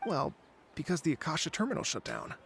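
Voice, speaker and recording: Game casting: laid-back researcher, highish male voice with gravelly creak, chatty, faint noise behind. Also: big pitch swings, fast talker, fairly bright tone, full character voice. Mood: casual, candid. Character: easygoing, unambitious male academic researcher. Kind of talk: video game voice acting.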